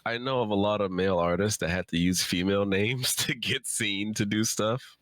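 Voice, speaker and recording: A man's voice: fairly low pitch, normal speed, fairly bright tone, no echo. A man co-hosting a live Q&A in casual chat, explaining things informally through anecdotes. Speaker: co-host of a live Q&A.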